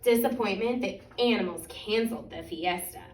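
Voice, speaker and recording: A woman reads a picture book aloud for children in an expressive, deliberate way, stretching out key words. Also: mid-range voice, a little hiss or hum. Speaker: children's story reader. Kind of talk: animated picture-book storytime reading. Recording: echoey room, distant microphone.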